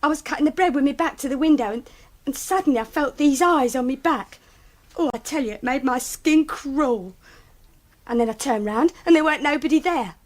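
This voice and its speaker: Radio drama: jittery servant woman played by an actress, high voice, colloquial and put-upon. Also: fairly quick, natural voice. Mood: frightened, indignant. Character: jittery, indignant female kitchen servant.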